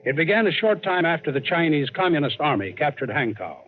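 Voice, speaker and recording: An actor playing an adventurer host, narrating a scripted yarn in an old-time radio drama. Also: male voice, a little high, sing-song, a little fast. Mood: storytelling. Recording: tinny old radio recording. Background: dramatic underscore music.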